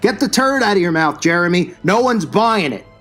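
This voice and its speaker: A man's voice: high voice, expressive, normal speed, fairly bright tone, faint music behind. A man hosting his own channel, delivering a combative, scornful religious commentary rant. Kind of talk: religious commentary rant over news clips.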